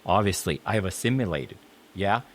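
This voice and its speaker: A man's voice: deep voice, expressive, brisk. A man in a clip being reacted to, ranting his opinions bluntly, emphatically and with constant swearing.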